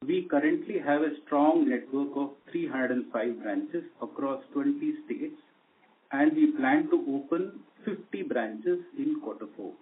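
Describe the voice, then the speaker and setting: Indian guy exec reading company results off a script, formal, thin phone-call sound, mid pitch. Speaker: company executive. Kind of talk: scripted corporate earnings presentation. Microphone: conference call line. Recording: tinny narrowband conference phone line.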